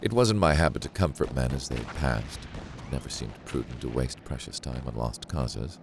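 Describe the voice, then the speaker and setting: A man narrating a thriller audiobook in the first person, in a measured, brooding and dramatic way. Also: very deep voice, background noise.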